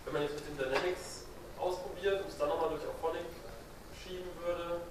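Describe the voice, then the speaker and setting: Very roomy distant recording, male audience member, higher-pitched voice, halting questions. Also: steady tone, background noise. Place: workshop room, away from the microphone. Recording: very echoey room, distant, crowd murmur.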